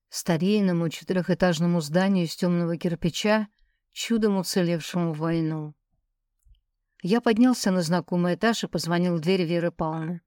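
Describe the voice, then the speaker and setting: A woman's voice: fairly low pitch, warm tone, no echo, studio quality. A woman reading a first-person novel aloud as an audiobook narrator.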